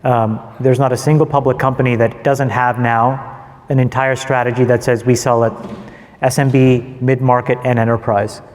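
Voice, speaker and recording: Male panel co-host, mid-pitched, explanatory, asks and answers own questions, hall reverb. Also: level tone, normal speed, darkish tone. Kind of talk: live panel commentary.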